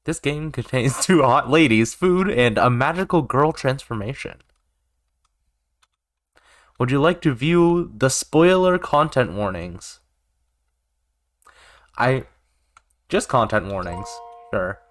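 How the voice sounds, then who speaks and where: A man's voice: mid-range voice, lively intonation, slow, long pauses, dry recording, clean studio sound. A man doing let's play commentary, reading game narration aloud and dropping in joking asides. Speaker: gaming YouTuber. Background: music.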